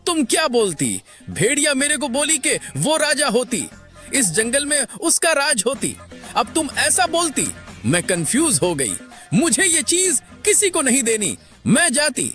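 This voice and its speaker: High-pitched, swooping royal camel sheikh. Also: male voice, big pitch swings, measured pace, a bit bright, faint music behind, exaggerated voice. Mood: indignant, doubtful. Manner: broken Hindi with muddled verb genders, exclamatory. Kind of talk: animated moral-story character acting.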